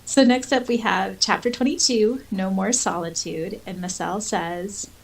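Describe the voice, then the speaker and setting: A woman speaks at an average pitch as a podcast co-host. She moves between casual fan chat and reading story passages aloud. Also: steady tone, measured pace, vocal fry, breathy edge. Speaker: podcast co-host and audiobook narrator.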